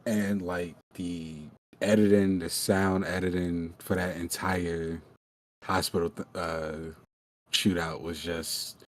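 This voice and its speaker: A man's voice: low-pitched, little pitch movement, slow. A man co-hosting a casual podcast, chatting informally. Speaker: podcast co-host. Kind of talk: casual chat.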